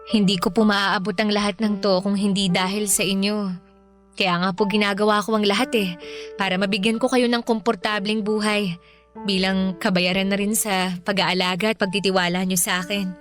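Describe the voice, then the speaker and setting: A woman's voice: mid-range voice, level tone, moderate pace, fairly bright tone, faint music behind, close to an everyday voice. A young woman playing a devoted, hardworking adopted daughter in a radio drama, polite and eager. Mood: earnest devoted. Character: hardworking grateful adult daughter, bakery owner.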